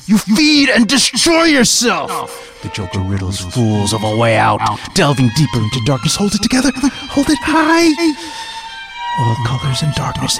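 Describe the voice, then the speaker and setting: Guy acting a brooding cryptic dark poet, gravelly, pitched high, swoops around, over loud music. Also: full character voice. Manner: theatrical, swooping between hushed and heightened. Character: brooding, cryptic poetic voice of darkness, riddling and intense. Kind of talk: dramatic spoken-word poetry.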